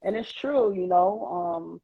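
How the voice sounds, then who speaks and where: A woman's voice: on the low side, takes it slowly, gravelly, breathy edge. Reflective woman from Queens, lo-fi stream. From New York City, Queens. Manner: reflective, faith-centered, many "um" and "you know" fillers. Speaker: podcast guest. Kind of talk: casual interview chat. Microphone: phone on a live social video stream. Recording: lo-fi livestream audio.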